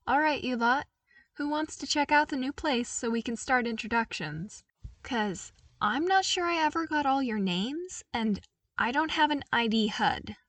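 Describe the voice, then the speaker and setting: A woman's voice: high voice, animated, unhurried, fairly bright tone, no echo, voice pushed up, full character voice. A woman voicing a cheerful young male engineer with a casual, eager manner in a fan fiction audiobook.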